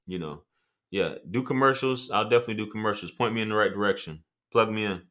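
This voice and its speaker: A man with a deep voice hosting a casual livestream, reading chat comments and talking loosely with profanity and laughing asides. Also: fast, a bit bright.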